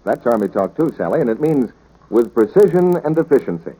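Male announcer, lively pitch swings, mellow tone, scripted sales patter, vintage radio broadcast. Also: brisk, husky, rough recording. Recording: old 1940s radio broadcast.

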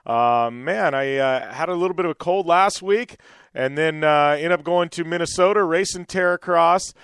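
A man's voice: fairly high pitch, normal speed, fairly bright tone, smooth, no echo, studio quality. Man hosting radio with a scratchy, worn voice. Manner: laid-back, filler-heavy, says uh a lot. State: scratchy, worn-out voice after a cold. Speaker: radio show host. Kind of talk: casual radio chat.

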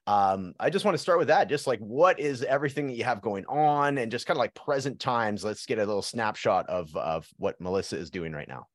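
A man hosting a podcast, chatting casually and warmly, rambling a little with fillers and restarts. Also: on the low side, animated, a little fast, few pauses, dry recording, studio quality. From Canada. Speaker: podcast host. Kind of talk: casual interview chat.

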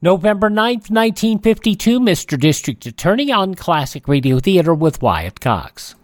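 Crisp radio host announcing. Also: male voice, high voice, sing-song, moderate pace, dry recording, studio quality.

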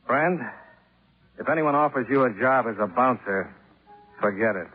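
An actor playing a wry, hard-boiled, unflappable private investigator in deadpan 1950s radio noir style. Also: male voice, medium pitch, moderate pace, close to an everyday voice. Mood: cool, pressing. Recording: tinny old radio recording, music behind.

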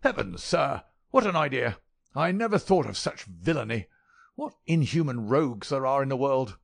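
A man voicing a querulous, miserly little old lord with a sharp crackling voice and a jerky, intense manner. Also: medium pitch, big pitch swings, normal speed, creaky, pitched above the performer's own voice. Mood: alarmed, pleading.

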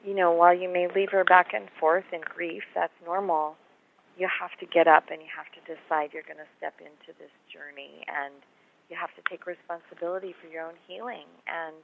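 A woman author, slightly hoarse, talking earnestly and reassuringly about her book in an interview. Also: lowish voice, steady tone, a little fast, noisy background. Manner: earnest, reassuring, repeats words. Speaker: author and guest. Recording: phone line audio.

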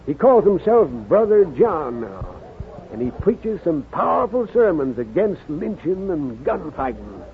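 An actor playing a chatty, folksy cafe swamper in an American 1950s radio western, with a garrulous rustic manner. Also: male voice, a little high, big pitch swings, unhurried. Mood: gossipy, amused. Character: chatty, folksy old-West cafe swamper, gossipy man. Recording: muffled, noisy 1950s radio recording.

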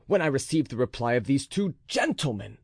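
A man's voice: on the high side, big pitch swings, fairly quick, darkish tone, dry recording, studio quality, voice pushed up. A man voicing a fiery, proud musketeer captain who threatens with raised, intense force. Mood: furious. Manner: raised, intense, menacing delivery.

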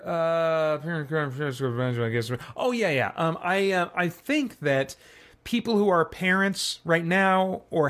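Male podcast host, middle-aged, a bit higher-pitched, informal conversational reviewer working through his thoughts. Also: fairly bright tone. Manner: conversational, thinking aloud. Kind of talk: casual, semi-improvised movie review.

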